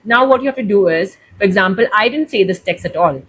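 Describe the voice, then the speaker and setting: A woman's voice: mid-range voice, expressive, fast, a bit bright, husky. Young Indian woman explaining casually. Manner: chatty, leans on "like" while explaining. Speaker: tutorial host. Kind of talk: casual step-by-step demo.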